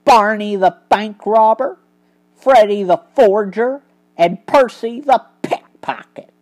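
A woman voicing a calm, stern male gentleman detective who speaks formally. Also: mid-range voice.

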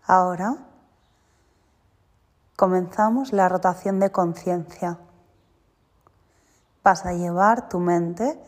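A woman leads a guided relaxation at a very slow pace, in short, spaced instructions. Her delivery is soothing and deliberate, at a medium pitch. Long reverb trails each phrase. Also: a little mellow. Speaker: yoga teacher guiding a relaxation. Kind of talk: guided yoga nidra meditation. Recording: long reverberant hall-like echo.

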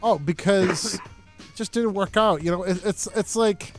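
A man in his forties with a notably high-pitched voice talks casually as a studio guest. He is excitable and high-energy, often doubling back on his words, with light music underneath. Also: moderate pace. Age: 40s. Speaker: talk show guest, comedian and filmmaker. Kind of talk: casual banter. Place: radio-style studio.

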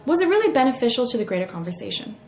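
A young woman with an American accent narrating a personal essay in an expressive way as a podcast host. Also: a little high, very fast. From United States. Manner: expressive, acts out quoted remarks. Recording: roomy echo, faint music.

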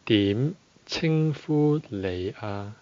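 A man teaching a podcast language lesson, speaking slowly and deliberately and repeating phrases for learners. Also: fairly low pitch, animated, a little mellow, dull audio.

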